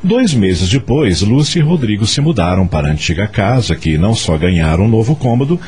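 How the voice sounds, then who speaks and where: A man's voice: lowish voice, very animated, brisk, a little mellow, husky. A man narrating a radio soap opera in a scripted, dramatic storytelling style. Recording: music under the voice. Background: background music.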